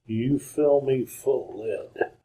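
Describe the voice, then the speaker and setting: A man acting as a wounded outlaw of the American West in an old-time radio drama. He has a middle-pitched voice and pleads earnestly in plain frontier talk, halting and repeating himself. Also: warm tone, low-quality recording. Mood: pleading. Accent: American Western. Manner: halting, folksy, repeats words. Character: dying wounded gunslinger, earnest, pleading with gruff dignity.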